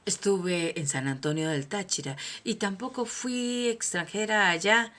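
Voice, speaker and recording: A Colombian-accented woman hosts a personal motivational monologue in a mid-range voice. She talks conversationally but preaches, letting her volume climb on emphatic declarations before falling back to quiet, almost whispered asides. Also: medium pitch, measured pace. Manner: emphatic, preaching, swings from soft to loud. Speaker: host, motivational speaker.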